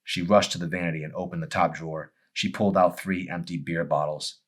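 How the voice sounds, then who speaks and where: A man's voice: sits low, fairly even intonation, a little fast, a bit bright. A man reading a novel aloud as its author, in a dramatic audiobook narration style.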